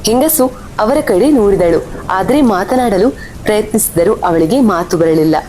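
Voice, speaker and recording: A woman's voice: lively intonation, moderate pace, mellow. A woman reading scripted horror story narration as the storyteller. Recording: faint rumble and rain behind voice.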